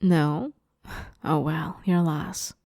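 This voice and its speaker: A woman voicing a playful, sadistic vampire in an ASMR roleplay, teasing her captive with mock sweetness and quiet menace. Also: low voice, fairly even intonation, drawn-out words, heavy background noise, full character voice. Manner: teasing, mockingly sweet, menacing, often hushed. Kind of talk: ASMR roleplay, dramatic monologue.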